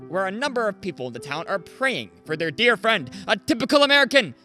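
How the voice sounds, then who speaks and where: A man's voice: high-pitched, sing-song, fast talker, pushed and bright, soft background music, full character voice. A man playing an exuberant 1940s radio host, announcing grandly in the style of a live radio play. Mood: grand, welcoming. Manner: grand, showy, projected announcing.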